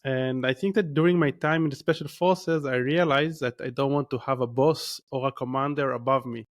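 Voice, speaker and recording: A man's voice: mid-range voice, fairly even intonation, fairly quick. A man in his thirties with an Israeli accent, a podcast guest telling his story in a rambling way, with many restarts and fillers. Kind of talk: casual interview storytelling.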